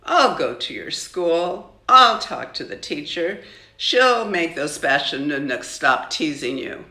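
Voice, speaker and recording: An older woman voicing a protective, sharp-tongued immigrant mother from a storybook, firm and emphatic. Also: low voice, sing-song, slow, exaggerated voice. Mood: bitter, indignant. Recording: roomy, echoing home recording.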